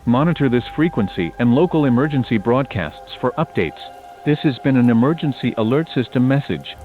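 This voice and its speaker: A man's voice: medium pitch, sing-song, fairly quick, soft background music. A man playing a stern, impersonal emergency alert announcer, reading commanding official notices through a broadcast filter. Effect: emergency broadcast phone-like filter. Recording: tinny band-limited emergency broadcast.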